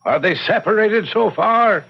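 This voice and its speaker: A man playing a concerned, earnest priest in an old-time radio drama, hesitant and gently probing, on a muffled recording. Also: high-pitched, animated, measured pace, vocal fry, slightly rough, full character voice. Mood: anxious.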